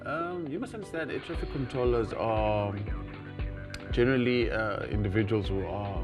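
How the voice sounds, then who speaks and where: A man's voice: mid-range voice, moderate pace, music in the background. A South African man giving formal explanations as an interview guest, in hesitant, stop-start phrases. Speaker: guest, air traffic controller. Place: TV studio.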